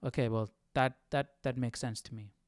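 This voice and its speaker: A man hosting a live Bible study, chatting casually and thinking out loud. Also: mid-range voice, steady tone, normal speed, no room sound, clean studio sound. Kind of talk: casual livestream chat with reading and commentary.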